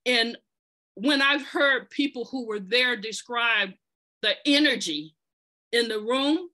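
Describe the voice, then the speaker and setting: A woman's voice: on the high side, slow, bright, forceful tone. A woman telling the story of an organization in an earnest, conversational way, partly reading aloud, with fillers and restarts. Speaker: podcast presenter telling an organization's history.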